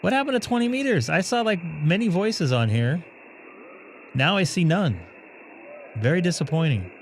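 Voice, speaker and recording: A man hosting a ham radio live stream, chatting and thinking aloud as he operates, his voice going hoarse. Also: a little high, animated, normal speed, a little hiss or hum, low-quality recording. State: losing his voice.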